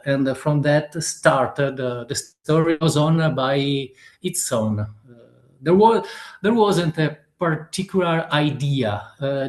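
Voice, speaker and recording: A man in his fifties with an Italian accent, an author answering interview questions haltingly and searching for words in a non-native language. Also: fairly high pitch, a little mellow, roomy sound. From Italy. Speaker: author guest.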